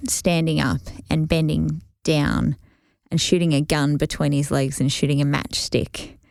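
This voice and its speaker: Low, gravelly woman chatting. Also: little pitch movement, unhurried, darkish tone, no echo, clean studio sound. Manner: rambling anecdotal storytelling. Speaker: podcast co-host. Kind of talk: casual chat.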